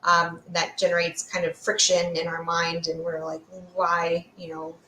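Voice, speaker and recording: A woman with a slightly low voice speaks in a roomy, echoey space. She explains things conversationally, as an expert guest would in an interview. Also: completely flat delivery, unhurried, pushed and bright, a little hiss or hum. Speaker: guest expert, fear sociologist.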